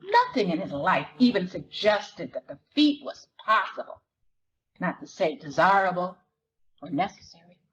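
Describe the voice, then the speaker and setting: A woman reading literary fiction dramatically, in measured, deliberate phrases with weighted pauses. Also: lowish voice, sing-song, fairly bright tone, poor audio. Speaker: dramatic reader at a library performance. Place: live reading at a library event.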